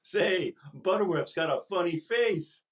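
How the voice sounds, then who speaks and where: Brash, lively Chico Marx. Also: male voice, high voice, a little slow. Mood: cheeky, heckling. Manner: blunt, heckling. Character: brash, dim, teasing male vaudeville sidekick, Chico. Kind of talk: 1930s radio comedy acting.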